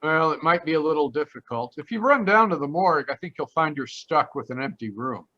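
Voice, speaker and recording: A man playing a wry, blunt private detective in a 1940s radio mystery, with a deadpan, wisecracking delivery. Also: on the high side, a little fast. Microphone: video call. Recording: dull lo-fi video call audio.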